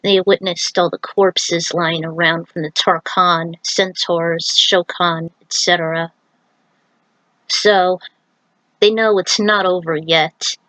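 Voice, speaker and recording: A woman's voice: fairly low pitch, fairly even intonation, slow, fairly bright tone, no echo, poor audio. A woman narrating a story aloud in an informal way, breaking off for chatty asides and recaps. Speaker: narrator reading own fan fiction. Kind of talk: story reading with casual asides.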